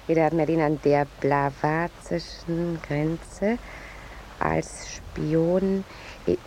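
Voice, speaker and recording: An actress playing a flighty, eager newspaper reporter in a 1950s radio play, gushing and flippant in a husky voice. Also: female voice, sits low, fairly even intonation, slow, mellow, a little hiss or hum, low-quality recording. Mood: eager, insistent.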